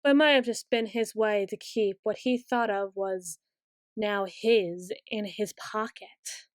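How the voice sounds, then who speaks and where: A woman playing a soft-spoken brooding queen. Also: mid-range voice, fairly even intonation, dry recording, clean studio sound, natural voice. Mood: wistful. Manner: soft-spoken, slow and musing, turning bitter. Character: brooding young queen, bitter toward her late father. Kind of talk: ASMR roleplay.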